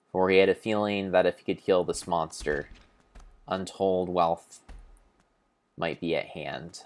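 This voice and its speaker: Deep-voiced man, grandiose dramatic narrator. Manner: grandiose, theatrical, with pauses and self-corrections. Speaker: narrator of a video game playthrough. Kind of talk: mock-epic dramatic storytelling, improvised.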